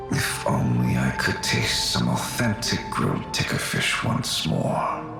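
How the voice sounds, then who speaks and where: Slow, echoing ghostly warrior. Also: male voice, extremely low pitch, big pitch swings, creaky, exaggerated voice. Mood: wistful, melancholy. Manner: formal, measured, wistful. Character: gentle, melancholy male ghost of an ancient warrior. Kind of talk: video game character acting. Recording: heavily echoing, ghostly reverb. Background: faint background music.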